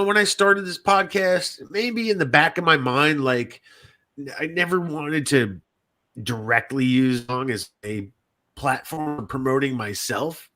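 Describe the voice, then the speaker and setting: A man's voice: medium pitch, sharp tone. A man hosting a podcast in casual chat, talking in a rambling, repetitive, sweary and self-deprecating way. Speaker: podcast host and indie fiction writer.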